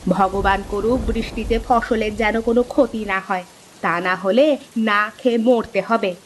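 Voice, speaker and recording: A woman voicing a worried village woman in a children's cartoon, scolding in a sharp, reproachful way. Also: mid-range voice, fairly even intonation, measured pace, a little hiss or hum, natural voice, rough recording. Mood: scolding, fretful. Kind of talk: Bengali children's cartoon dubbing. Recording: music behind the voice.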